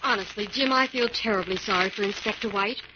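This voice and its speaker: A woman's voice: medium pitch, a little fast, close to an everyday voice. An actress playing a detective's earnest, concerned female partner in a 1940s radio adventure, with an inquisitive manner. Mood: concerned, curious. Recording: muffled 1940s radio recording, clear static noise.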